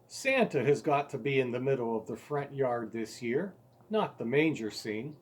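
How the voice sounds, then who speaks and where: A man's voice: mid-range voice, expressive, normal speed, lightly acted. A man voicing a stubborn, indignant eight-year-old boy in a storybook, insistent, pleading and emphatic. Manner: insistent, pleading, growing louder and more emphatic.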